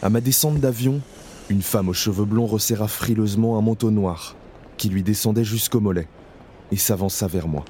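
A man's voice: fairly low pitch, warm tone, slight background noise, natural voice. A man playing a haunted, numb survivor. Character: haunted male camp survivor, numb and fearful. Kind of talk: first-person audio drama narration.

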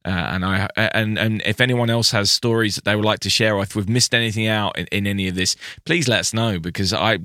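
A man's voice: lowish voice, fairly even intonation, brisk, hardly any pauses, bright, forceful tone, dry recording, studio quality. A British man co-hosting a podcast in casual chat, talking in bursts with frequent restarts and easy laughter. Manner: chatty, restarts often, laughs easily. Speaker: podcast co-host.

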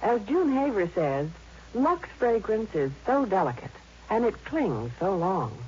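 A woman delivers a commercial in 1950s radio style. Her intonation is very animated, and the old broadcast is muffled with faint noise. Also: medium pitch, measured pace. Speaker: commercial announcer. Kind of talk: 1950s radio advertisement read.

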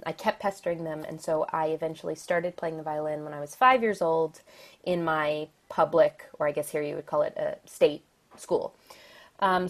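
A woman from the Philadelphia area with an American accent, a violin soloist talking thoughtfully and positively as a podcast guest, often pausing with ums and soft laughs. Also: fairly low pitch. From Philadelphia area, USA. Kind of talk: podcast interview.

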